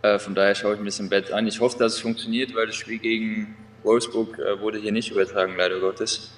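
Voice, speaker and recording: A young man speaking over a video call as an injured player, halting with many fillers. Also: lowish voice, little pitch movement.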